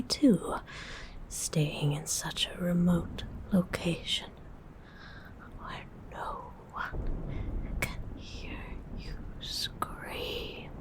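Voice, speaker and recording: A woman voicing a predatory, hungry female vampire in a breathy whisper, a seductive and politely menacing ASMR roleplay monologue. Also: medium pitch. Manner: slow, drawn-out, teasing menace. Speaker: ASMR voice performer.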